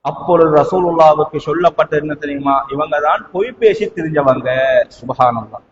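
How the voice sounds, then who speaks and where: Male Islamic preacher, Sri Lankan Tamil accent, high voice, emphatic and rhetorical, recorded in a big hall with long reverb. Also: normal speed, lo-fi sound. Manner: rhetorical questions, emphatic repetition, swinging between hushed and raised delivery. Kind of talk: impassioned mosque sermon in Tamil with Arabic quotations. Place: large echoing mosque hall. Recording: long hall reverb, noticeable background noise.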